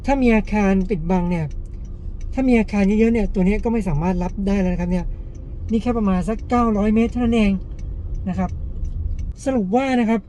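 A man's voice: high voice, a little fast, long pauses, warm tone, a little hiss or hum, poor audio. A man hosting a casual gadget test, explaining things step by step to viewers in a polite way. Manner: explanatory, frequent polite particles. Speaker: gadget review host.

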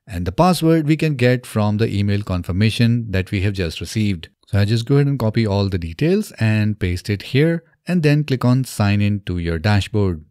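A man in his thirties narrating a software tutorial step by step, in an instructional way, close to the microphone. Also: on the low side, no room sound. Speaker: tutorial presenter. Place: small indoor home studio. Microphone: close desk microphone on a boom arm.

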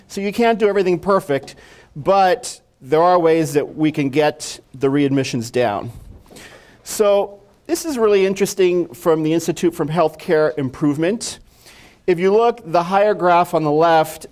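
A man's voice: fairly high pitch, a little mellow. A middle-aged man from Mexico, a physician giving a conference lecture on stage in a friendly, conversational way, asking the audience questions. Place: dark stage, indoors.

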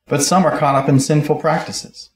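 A man's voice: on the high side, steady tone, moderate pace, reverberant. A middle-aged man preaching a sermon at a pulpit, reading scripture aloud and explaining it verse by verse. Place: church auditorium, speaking at a pulpit.

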